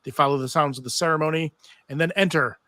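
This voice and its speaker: A man's voice: a little high, fast talker, sharp tone, dry recording. A man narrating stage directions in a live table read of a TV script.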